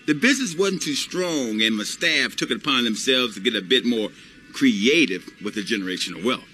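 Showy male ex-athlete turned salesman, game character, lively bright voice, faint music. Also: medium pitch, moderate pace. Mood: breezy. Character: smooth-talking retired male sports star selling his business. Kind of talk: video game cutscene acting.

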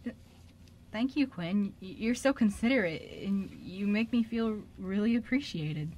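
A sweet, eager man in an amateur radio drama, played by a woman. The speech stammers with earnest gratitude, at a middling pitch for a female voice. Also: expressive, measured pace, a little mellow, dull audio, a little hiss or hum, natural voice. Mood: excited, grateful. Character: grateful, eager, sweet man waking in hospital.

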